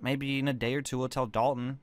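A man's voice: medium pitch, flat delivery, brisk, no echo, natural voice. A man playing a flippant, defensive teenage hellhound in an improvised Minecraft roleplay, with casual, bantering delivery. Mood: questioning. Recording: music under the voice.

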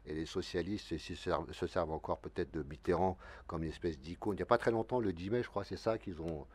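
A man's voice: sits low, fast talker, a little mellow. A man in his fifties, a local election candidate, talking through a televised studio debate in a rambling way with many restarts. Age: 50s. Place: dark TV studio, seated at a desk. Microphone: desk mic.

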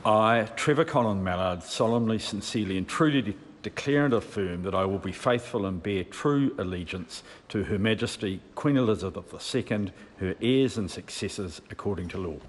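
A man's voice: on the low side, lively intonation, normal speed, hardly any pauses. A man, a member of parliament solemnly reading a formal affirmation in a large chamber. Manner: solemn, slight stumble. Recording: reverberant chamber, faint hum.

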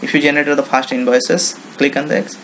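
A man with an Indian accent giving an informal, unscripted software tutorial in halting English with non-native phrasing. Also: a little high, slight background noise. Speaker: software demonstrator.

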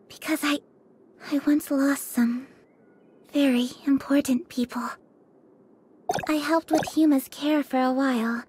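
An actress voicing a gentle, earnest young nurse in a video game, with soft, polite and melancholy delivery. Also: female voice, high voice, a little hiss or hum. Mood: wistful, hesitant. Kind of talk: video game character voice lines.

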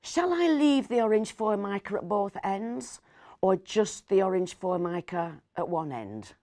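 Expressive middle-aged woman storyteller, very animated. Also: medium pitch, no room sound, clean studio sound. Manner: expressive, with pauses and hushed asides. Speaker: actor reading a short story. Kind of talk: dramatic storytelling read aloud.

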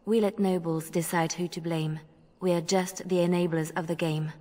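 Lowish-voiced, self-assured young rogue played by a woman. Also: fairly even intonation, moderate pace, a little mellow, dry recording, close to an everyday voice. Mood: businesslike. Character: confident, playful young female rogue bard. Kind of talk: video game voice acting.

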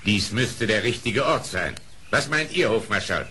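A man's voice: lowish voice, lively intonation, unhurried, pushed and bright. An actor playing a proud, stern animal king with a declamatory, regal manner in a storybook radio play. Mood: commanding. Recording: faint hiss of old record.

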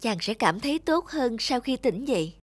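A woman as a gentle, high-voiced frog princess. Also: sing-song, normal speed, dry recording, clean studio sound. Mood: soothing. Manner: tender, courtly. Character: gentle, reassuring female frog, enchanted princess. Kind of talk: storybook cartoon dialogue.

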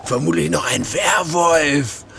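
High-voiced cocky teenage boyfriend. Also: male voice, takes it slowly, creaky, background noise, full character voice. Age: young adult. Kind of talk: horror audio drama acting.

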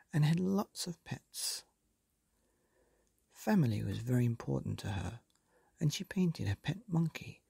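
Slow breathy man reading a bedtime story. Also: medium pitch, no echo, studio quality. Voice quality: soft breathy half-whisper. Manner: slow, gentle and lilting. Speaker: storyteller and podcast host.

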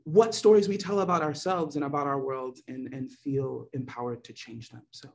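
A man's voice: medium pitch, fairly even intonation, moderate pace, gravelly. A man speaking as a theater-practice panelist, passionate and emphatic, building his points with rhetorical questions. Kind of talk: reflective panel discussion.

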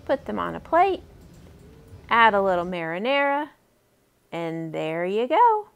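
A woman's voice: mid-range voice, sing-song, unhurried, vocal fry, clean tone. A woman in her thirties hosting a friendly step-by-step cooking demonstration for children, speaking in simple, encouraging instructions. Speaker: library cooking program host for kids.

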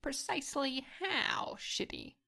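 Sly female gamer played by a woman, high and bright, cocky, theatrical relish, for audio fiction. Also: expressive, slow pace, creaky, full character voice. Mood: teasing, gleeful. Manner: theatrical, relishing her words. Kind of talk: fan fiction podcast acting.

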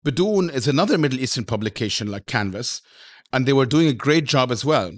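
A man's voice: medium pitch, animated, brisk. Affirming male podcast host. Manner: affirming, summarizing the guest's points. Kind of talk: interview hosting.

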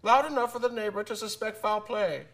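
An actor in a radio drama playing a stern, accusing police constable with an emphatic, insistent delivery. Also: male voice, high voice, a little fast, a bit bright.

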